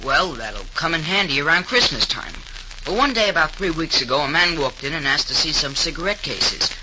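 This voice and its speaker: A man's voice: on the high side, expressive, a little fast, natural voice. An actor playing an eager, earnest teenage boy in a 1930s radio drama. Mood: earnest narrating. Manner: earnest, wide-eyed. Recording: noisy 1930s radio recording.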